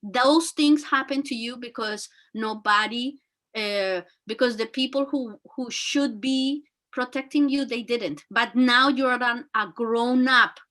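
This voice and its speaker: A woman with a very high, bright and forceful voice talks earnestly, her full of fillers and restarts. She speaks as a teacher and guest, giving motivational advice. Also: slow pace. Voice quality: very high-pitched voice. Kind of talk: motivational talk.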